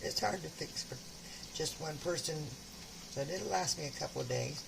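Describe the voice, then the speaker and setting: A woman's voice: sits low, moderate pace, creaky. An older woman with a sore throat, chatting homily as she cooks in her kitchen. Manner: homey, chatty, talks while cooking. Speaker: home cook. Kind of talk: casual cooking vlog. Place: home kitchen. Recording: loud kitchen sizzle noise. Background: frying and sizzling food.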